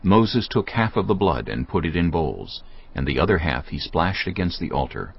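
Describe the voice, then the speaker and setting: Deep, lively male scripture narrator. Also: moderate pace, vocal fry, husky. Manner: expressive storytelling delivery. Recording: dull lo-fi audio, faint music.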